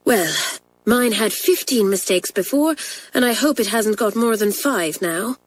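A woman's voice: medium pitch, animated, a little slow, pitched above the performer's own voice. A woman voicing an anxious, sleepy schoolgirl in a storybook, plaintive and hesitant. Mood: weary.

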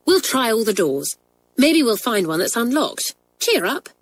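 A woman voicing Janet, a bossy, brisk schoolgirl who talks sharply. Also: fairly high pitch, sing-song, pitched above the performer's own voice. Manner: sharp, commanding.

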